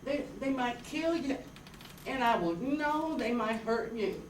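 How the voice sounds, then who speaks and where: A woman's voice: a little high, lively intonation, measured pace. A woman playing a worried, loving older farm mother with folksy rural speech in a staged radio drama reading. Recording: roomy hall, distant mic, faint noise.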